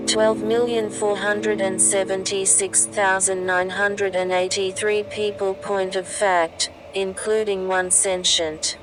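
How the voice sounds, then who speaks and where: A woman playing a train's artificial intelligence in a science fiction audio story, literal, measured and matter-of-fact. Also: mid-range voice, level tone, lightly acted. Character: soft-spoken female train computer, literal and matter-of-fact. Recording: loud background noise.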